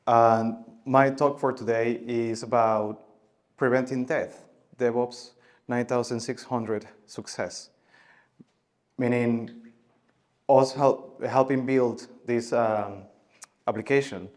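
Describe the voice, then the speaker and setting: Man giving a halting tech talk. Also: mid-range voice, steady tone. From Venezuela. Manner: halting, many filler sounds and restarts, loudness rising and falling. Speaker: conference speaker. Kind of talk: conference talk.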